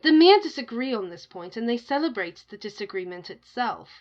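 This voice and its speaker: A woman's voice: a little high, sing-song, a little fast, highs cut off. A woman narrating a scripted myth as a storyteller. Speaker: narrator of a tale within the story.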